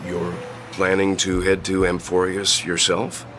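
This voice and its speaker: A man playing a polite, cautious gentlemanly traveler in a video game, speaking in a courteous, hesitant, measured way. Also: deep voice, monotone. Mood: thoughtful. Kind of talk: acted video game dialogue. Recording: background music under the voice.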